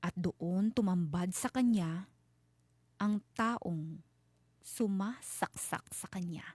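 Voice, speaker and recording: Woman telling a horror story, mellow dark voice, suspenseful n deliberate, really dry recording. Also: on the low side, no room sound. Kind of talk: dramatic scripted horror narration.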